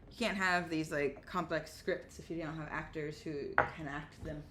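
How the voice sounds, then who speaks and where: Lower-pitched woman in casual chat. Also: steady tone, moderate pace. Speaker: livestream co-host. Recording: faint background noise.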